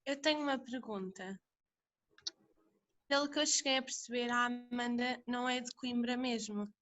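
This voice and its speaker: Young woman with a high-pitched voice. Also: normal speed, fairly bright tone. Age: young adult. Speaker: student asking a question. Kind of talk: informal question in a group discussion.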